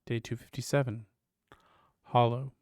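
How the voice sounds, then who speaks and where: A man's voice: medium pitch, flat delivery, measured pace, darkish tone. A man live streaming a video game speedrun, chatting and reading on-screen text aloud. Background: video game music.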